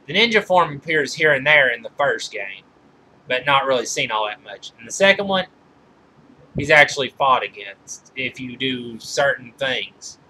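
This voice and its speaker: Bright-voiced man reviewing movies, noisy recording. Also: medium pitch, lively intonation. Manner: halting, often corrects himself mid-sentence. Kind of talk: informal film trivia commentary.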